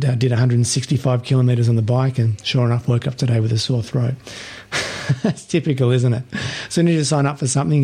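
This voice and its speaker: A man hosting a podcast, hoarse and scratchy from a sore throat, talking in a relaxed, chatty way with small restarts. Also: medium pitch. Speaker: podcast host. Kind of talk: casual podcast monologue.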